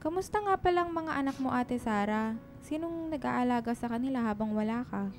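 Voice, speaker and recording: High-pitched, caring lady employer. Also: expressive, fairly quick, faint music behind. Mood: friendly. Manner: caring, chatty, concerned. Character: kind, protective middle-class employer, a single mother. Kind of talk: Filipino radio drama acting.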